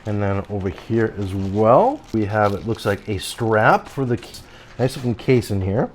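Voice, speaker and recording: A middle-aged man with a low voice talks his way through an unboxing, rambling and cutting himself off mid-sentence. He gets easily excited and chats casually to his viewers, with a faint hiss of background noise behind him. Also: unhurried. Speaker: product reviewer. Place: home, later outdoors on a deck.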